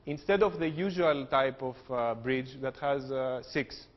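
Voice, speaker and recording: A man's voice: medium pitch, a little slow. A man with a Greek accent, an engineering professor giving a technical lecture with jokes and frequent fillers. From Greece. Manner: joking, explanatory, frequent uh fillers. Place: lecture hall. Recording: dull lo-fi hall recording with long reverb.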